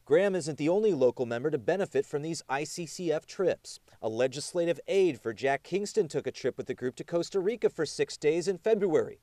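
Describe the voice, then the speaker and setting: News reporter, male: emphatic, dramatic pauses, swooping pitch, dry close recording. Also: expressive, dark tone, dry recording. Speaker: television news reporter and anchor. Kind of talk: scripted TV news narration.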